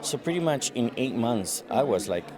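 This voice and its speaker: A man's voice: medium pitch, big pitch swings, moderate pace, rough recording. A man whose first language is Spanish, telling his story in a casual interview at a conference and acting out the voices of people he quotes. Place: busy conference hall. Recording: conference crowd chatter behind.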